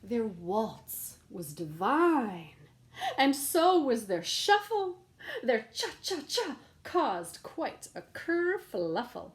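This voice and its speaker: A woman's voice: fairly high pitch, sing-song, drawn-out words. A woman reading a rhyming children's picture book aloud in a playful, sing-song storytelling manner.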